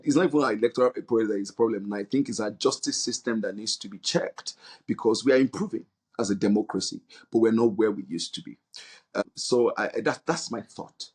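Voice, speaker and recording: The invited guest on a Nigerian talk programme, a man with a low, husky voice, earnestly feeling his way through each answer. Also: fairly quick, a little mellow. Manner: hesitant, searching for words, earnest. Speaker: interview guest, non-profit leader. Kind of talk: interview answer.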